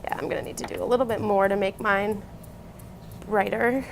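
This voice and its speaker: A woman's voice: mid-range voice, animated, a little fast, vocal fry. A woman co-hosting a craft show, demonstrating steps casually and chatting around a table indoors. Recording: noisy room with table sounds.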